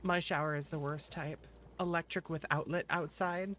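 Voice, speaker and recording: A woman's voice: low voice, fairly even intonation, moderate pace, fairly bright tone, slight background noise. A woman livestreamer chatting casually and playfully with her viewers, teasing them as she goes. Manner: playful, teasing, reacts animatedly to chat. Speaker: streamer, virtual YouTuber. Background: background music.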